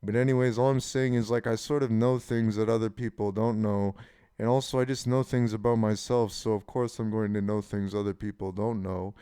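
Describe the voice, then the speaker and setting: A young man in his twenties with a low, mellow voice and a smooth, clean tone. He talks in a frank, loose monologue as if thinking out loud, with casual swearing. The sound is close and dry. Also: steady tone, a little fast, no echo. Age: 20s. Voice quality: deep voice. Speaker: channel host. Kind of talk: rambling personal monologue.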